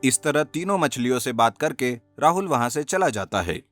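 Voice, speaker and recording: A man narrates a scripted story for children. His voice sits at a middle pitch, and guitar music plays clearly underneath him. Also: expressive, moderate pace, a bit bright. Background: plucked guitar music.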